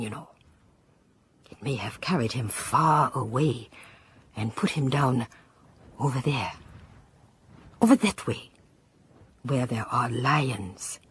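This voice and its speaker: Very husky old Southern African village woman. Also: extremely low pitch, exaggerated voice. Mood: fatalistic, musing. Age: older. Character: old village woman, opinionated, wary and fatalistic. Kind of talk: radio drama acting.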